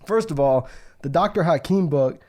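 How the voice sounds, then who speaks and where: A man's voice: on the high side, lively intonation, moderate pace, darkish tone. A young American man co-hosting a podcast, chatting and telling stories in a joking, slangy way. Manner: joking, slangy, laughs often. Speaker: podcast co-host. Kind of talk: casual chat and storytelling.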